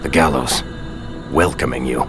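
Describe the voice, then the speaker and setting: An actor playing a roguish, wry dwarf storyteller, narrating a game's tale in a knowing, measured, dramatic way. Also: male voice, extremely low pitch, steady tone. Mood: wry, ominous. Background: music.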